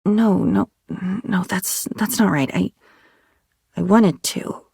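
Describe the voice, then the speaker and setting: A woman's voice: mid-range voice, takes it slowly, creaky, a little mellow, breathy edge, no echo, studio quality, heavily acted. An actress playing an unhinged, dreamy woman with a volatile temper who rambles disjointedly in a video game. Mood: manic musing, then fearful, haunted. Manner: disjointed rambling, swinging between wistful and agitated. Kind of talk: video game character voice acting.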